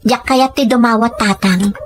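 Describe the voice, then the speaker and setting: Woman acting as a self-reliant mom in a family drama, kinda high husky voice, earnest and won't back down. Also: normal speed, lightly acted. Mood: defiant. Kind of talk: dramatic Ilocano radio-style storytelling.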